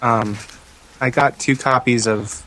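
A man's voice: mid-range voice, steady tone, slow pace, sharp tone, a little hiss or hum, low-quality recording. A man co-hosting a podcast, chatting casually with a dry, sardonic manner. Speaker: podcast co-host. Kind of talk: casual chat.

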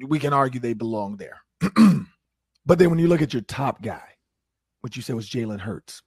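A man hosting a sports talk show, his voice hoarse from shouting, talking energetically. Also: medium pitch, normal speed, no room sound, studio quality. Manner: animated, energetic opinions. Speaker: host.

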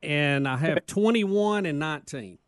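A man's voice: on the high side, animated, unhurried, no room sound, studio quality. A man, co-hosting a radio show with easygoing, laughing storytelling. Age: 50s. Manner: laid-back, laughing, storytelling. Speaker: radio co-host. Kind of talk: casual radio banter.

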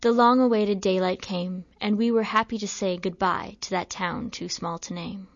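A woman reads a poem in a calm, plain voice. The recording is dry and a bit dull. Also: mid-range voice, moderate pace, dry recording. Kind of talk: reading a poem aloud.

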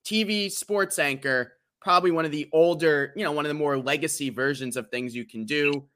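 A man in his late twenties hosting a casual interview, chatty and quick to laugh, with stumbling restarts. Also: a little high, bright, forceful tone. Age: 20s. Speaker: podcast host.

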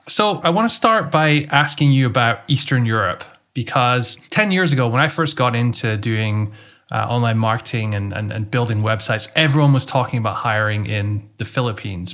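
A man's voice: medium pitch, a bit bright. A man hosting a podcast interview, talking conversationally and often repeating words as he searches for them. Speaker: podcast host. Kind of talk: casual interview talk.

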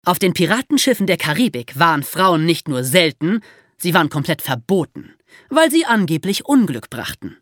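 A woman's voice: on the low side, sing-song, moderate pace, no room sound, clean studio sound. A woman hosting a podcast for young listeners in a conversational presenting style. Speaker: podcast host.

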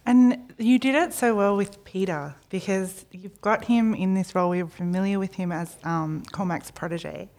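A woman's voice: medium pitch, fairly even intonation, moderate pace, few pauses, a little mellow. A woman co-hosting a podcast, speaking with enthusiasm and effusive praise about a book. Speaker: podcast co-host. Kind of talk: casual podcast chat.

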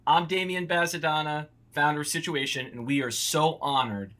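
A man playing a polished marketing executive, delivering an earnest sales pitch in a scripted audio comedy. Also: normal speed, sharp tone. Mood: salesmanlike. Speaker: marketing company founder. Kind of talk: scripted presentation.

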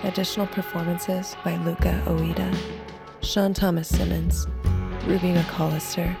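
Loud guitar music plays behind a woman announcer. She reads scripted credits in a flat, creaky voice. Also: fairly low pitch, a little slow.

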